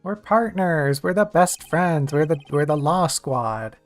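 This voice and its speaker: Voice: medium pitch, expressive, a little slow, faint music behind. A game streamer chatting casually and jokingly with viewers during a let's-play. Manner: chatty, jokey, talks to chat.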